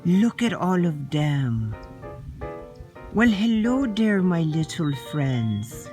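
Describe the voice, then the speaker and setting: A woman voicing a curious young toy unicorn, guiding a slow, soft-spoken tour of a pond outdoors. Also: mid-range voice. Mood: delighted. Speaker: nature-walk guide. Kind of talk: playful toy narration for children.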